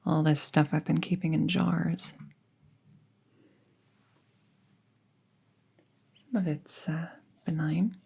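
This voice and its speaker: A woman playing a friendly apprentice witch in a roleplay audio, speaking softly and breathily, often near a whisper, with an unhurried, casual murmur. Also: low-pitched, level tone, vocal fry, slight background noise. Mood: casual, musing. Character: friendly young apprentice witch, soft-spoken and casually chatty. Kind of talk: roleplay audio drama, soft ASMR-like delivery.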